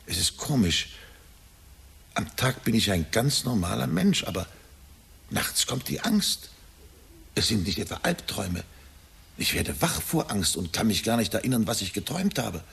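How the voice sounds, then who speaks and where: A middle-aged family man with a deep, very husky voice, brooding and anxious. He speaks hesitantly and deferentially, as if confessing, in a radio drama style. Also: very animated, normal speed, pauses often, a bit bright, exaggerated voice. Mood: anxious, confiding. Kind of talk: German radio drama acting.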